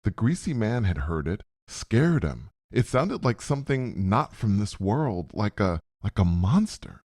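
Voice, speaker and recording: A man's voice: lowish voice, very animated, unhurried, darkish tone, no echo, studio quality. A man narrating a suspenseful first-person story in short, dramatic phrases, as a scripted storyteller.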